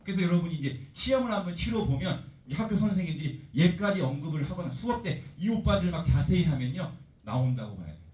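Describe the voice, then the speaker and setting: A male teacher giving a chatty, animated lecture, full of rhetorical questions. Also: a little high, fast, reverberant, far from the mic. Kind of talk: classroom lecture.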